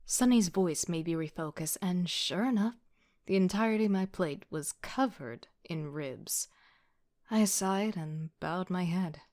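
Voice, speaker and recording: A woman acting as an exasperated young female student telling her own story in an audio drama. Her voice sits a little low, and her delivery is sardonic and self-mocking. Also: unhurried, darkish tone, natural voice. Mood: wry, self-conscious. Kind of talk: first-person audio drama narration with outbursts.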